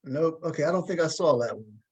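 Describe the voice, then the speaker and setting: Higher-pitched man hosting casually. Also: animated, husky, poor audio. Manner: halting, repeats words. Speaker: talk show host. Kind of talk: casual conversational interviewing.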